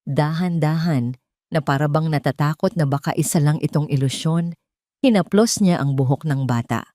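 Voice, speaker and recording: A woman's voice: low voice, moderate pace, warm tone, dry recording, studio quality. A woman with a Filipino accent reading a scripted dramatic story as a storyteller.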